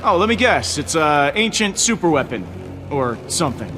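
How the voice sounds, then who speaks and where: An actor playing a flippant young hero, sarcastic and casual, over dramatic music. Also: male voice, fairly high pitch, sing-song, moderate pace. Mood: mocking.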